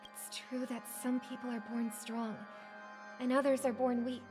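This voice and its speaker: An actress playing a gentle, resolute young idealist in a video game, speaking with formal, earnest poise. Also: female voice, fairly high pitch, flat delivery, moderate pace, lightly acted. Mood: earnest resolve. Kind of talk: scripted video game acting. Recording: game audio over loud music.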